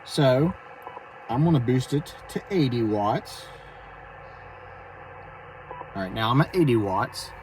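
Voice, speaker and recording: A man talking casually and haltingly as he explains his amateur radio hobby in a vlog from inside a vehicle. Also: medium pitch, animated, a little mellow. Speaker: amateur radio operator and video host. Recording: distant mic, clear vehicle and radio noise.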